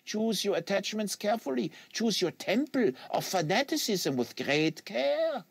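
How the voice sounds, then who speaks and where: Man putting on an earnest, high-pitched Quebecois zealot. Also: big pitch swings, unhurried, vocal fry, husky, dry recording, heavily acted. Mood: fervent, preaching. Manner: solemn, rhetorical, foreign phrasing. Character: earnest, lecturing French-Canadian male assassin in a wheelchair.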